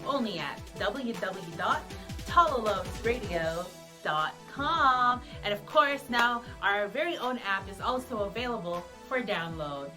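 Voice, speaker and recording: A woman radio DJ reading upbeat station promos and show schedules as an announcer. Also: mid-range voice. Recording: music bed behind the voice. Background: music.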